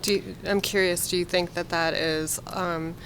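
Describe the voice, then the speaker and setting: Woman host, thirties, thoughtful questions, somewhat low voice. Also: fairly even intonation, moderate pace, a bit bright, a little hiss or hum. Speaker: podcast host. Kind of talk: casual interview.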